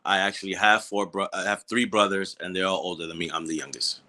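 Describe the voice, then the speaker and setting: Man, New York accent, very deep voice, loose, slangy, hesitant. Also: fairly even intonation, quick pace, bright, forceful tone. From New York City, Washington Heights. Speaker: guest, cannabis business co-founder. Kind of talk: casual interview chat.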